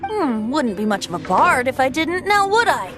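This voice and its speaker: A woman's voice: sits high, sing-song, moderate pace, over music. A woman voicing a playful, whimsical young bard boy in a video game, with a lilting theatrical delivery.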